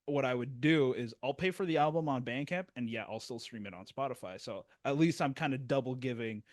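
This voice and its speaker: A man's voice: medium pitch, brisk, no room sound. Male music review host, casual chat, rambling and self-deprecating, dry close mic.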